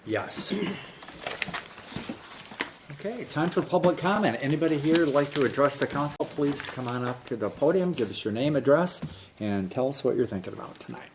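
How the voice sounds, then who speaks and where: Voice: medium pitch, animated, moderate pace, noisy background. A mayor chairing a city council meeting, moving through procedure in a plain, practical manner. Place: council chamber.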